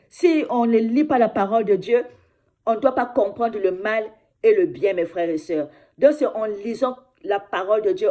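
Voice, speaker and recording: Earnest woman preaching, warm tone. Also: medium pitch. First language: another mother tongue. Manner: repeats "mes frères et sœurs" and "hein" often; slows down for scripture reading. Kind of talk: informal sermon.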